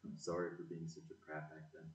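Guy playing apologetic lovestruck british man in amateur audio play, deep voice, echoey. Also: completely flat delivery, brisk. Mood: earnest, pleading. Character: earnest, apologetic man in love with old friend. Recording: echoey room, distant mic.

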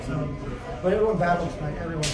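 Soccer coach in an echoey hallway. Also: male voice, fairly high pitch, music in the background. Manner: measured, plain-spoken, halting with fillers. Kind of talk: postgame interview. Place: concrete-block stadium corridor. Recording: echoey hallway, slightly distant mic, loud crowd behind.

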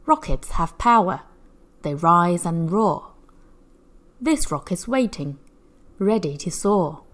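Woman reading slowly and very expressively. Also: medium pitch. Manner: measured, animated storytelling for young children. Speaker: children's story reader. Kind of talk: expressive read-aloud of a picture book.

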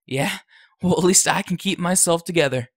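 A man's voice: sits high, normal speed, breathy edge, no echo, studio quality. A young man playing a defiant, sarcastic, foul-mouthed son in an audio drama. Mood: sneering, bitter. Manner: sneering, sarcastic.

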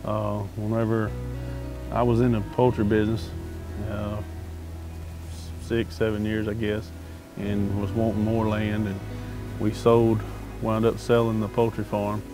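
A low-voiced Southern man chatting folksily in a farm profile video, with music playing underneath. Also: monotone, unhurried. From Arkansas, United States. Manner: folksy, plainspoken. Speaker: farmer. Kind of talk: casual interview storytelling. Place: outdoors in an open pasture.

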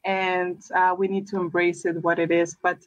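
A woman's voice: lowish voice, flat delivery, normal speed. A woman, a guest chatting over a video call, hesitant with many restarts. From El Salvador. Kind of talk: casual interview chat. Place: room with brick wall. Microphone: webcam on a video call. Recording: dull lo-fi sound.

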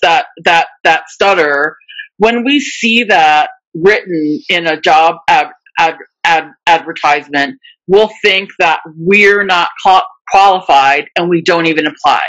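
A woman's voice: fairly low pitch, measured pace, a bit bright, highs cut off. A woman hosting a video call discussion, speaking with a stutter that repeats words and sounds. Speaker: webinar host.

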